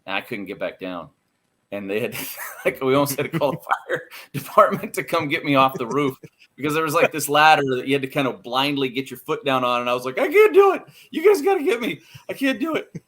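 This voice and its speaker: An American man chatting casually as a podcast guest, telling anecdotes in an animated, self-deprecating way. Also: mid-range voice, brisk. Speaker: interview guest, radio play-by-play announcer.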